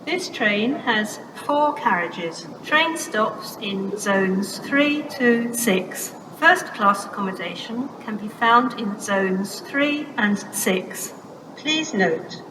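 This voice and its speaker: A British woman making formal, automated railway announcements in pieced-together scripted phrases over a station public address. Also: on the high side. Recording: echoey noisy station speakers from far off.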